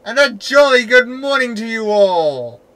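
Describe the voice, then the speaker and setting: Man playing a cheeky, animated, mocking hologram. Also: very high-pitched, a little slow, background noise, exaggerated voice. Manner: exclamatory, mocking. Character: cheeky, insulting, rebellious male hologram slave on the run. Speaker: voice actor in audio drama. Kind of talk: sci-fi sitcom audio drama acting.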